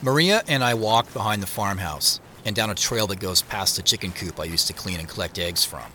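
An actor as a reflective man telling of his visit to a family farm. His voice is lowish yet bright and forward, with faint ambience behind it. It is first-person audio drama narration. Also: fairly quick, slight background noise.

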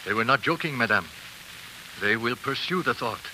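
An actor playing an earnest, respectful expedition man in a formal, deliberate 1940s radio drama style. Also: mid-range voice, animated, lightly acted. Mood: solemn. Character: earnest, respectful male frontier expedition member. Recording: noisy, hissy 1940s radio recording.

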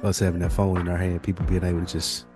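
Low-voiced man hosting a casual podcast. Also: flat delivery, fast, music in the background. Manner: informal, slangy, profane. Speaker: podcast host.

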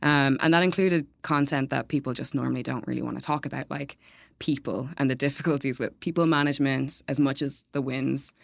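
A woman speaking as a guest on a podcast, answering in a relaxed conversational way with light laughs and frequent fillers. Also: rumbling low, fairly quick, creaky, dry recording. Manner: laughs lightly, many ums and kind-ofs. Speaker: events manager, podcast guest. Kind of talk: casual interview answers.

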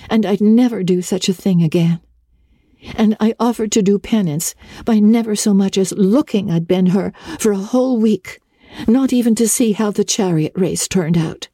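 A woman's voice: medium pitch, normal speed, mellow, dry recording, studio quality, natural voice. A woman voicing an earnest, talkative thirteen-year-old girl in a dramatic storybook reading, with breathless, solemnly emphatic delivery. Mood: ashamed, dramatic.

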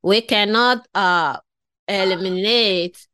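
A woman's voice: mid-range voice, slow pace, sharp tone. A woman interviewer from West Africa asking long, enthusiastic questions. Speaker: podcast host.

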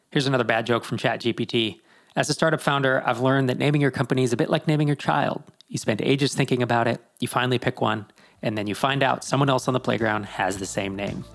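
A man hosting a podcast interview, upbeat and jokey with quick reactions. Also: medium pitch, vocal fry, fairly bright tone. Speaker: podcast host. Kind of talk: casual interview chat.

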